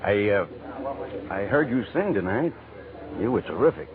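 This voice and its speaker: A man playing a smooth-talking, pushy admirer at a bar in a 1940s radio drama, casual and insinuating. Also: fairly low pitch, big pitch swings, normal speed, a crowd in the background. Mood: flirtatious, probing. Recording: noisy, tinny old radio recording.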